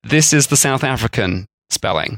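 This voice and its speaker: A man's voice: medium pitch, expressive, normal speed, a bit bright, dry recording, studio quality. Chatty male podcast co-host. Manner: chatty, laughs at himself, tries accents. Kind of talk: casual food history chat.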